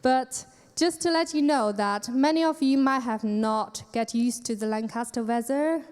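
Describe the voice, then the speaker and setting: A woman's voice: a little high, a little slow, a little mellow, clean tone. A woman from China, a business lecturer, introducing herself to students in a lighthearted way. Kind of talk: self-introduction speech. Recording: hall reverb.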